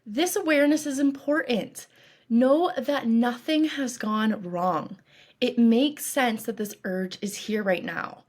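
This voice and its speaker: A woman coach leading a guided meditation in a calm, reassuring, instructive way, with deliberate phrasing. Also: a little high. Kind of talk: guided meditation, scripted narration.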